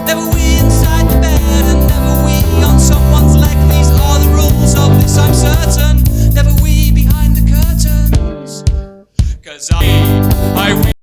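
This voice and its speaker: A man's voice: very high voice, fairly even intonation, a little slow, over loud music. A man playing a cheerful entertainer from a musical duo, doing comic singing and patter on stage. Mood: jaunty singing.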